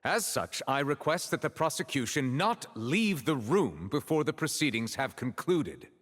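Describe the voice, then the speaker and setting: Composed, stern chief justice. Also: male voice, mid-range voice, very animated, a bit bright. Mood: stern, formal. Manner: formal, measured, authoritative. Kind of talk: video game voice acting.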